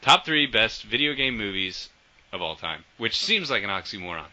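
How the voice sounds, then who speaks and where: A man hosting a casual podcast, enthusiastic and swearing often, trailing off quietly at the ends of sentences. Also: lowish voice, sing-song, moderate pace. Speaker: podcast host. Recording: dull lo-fi audio.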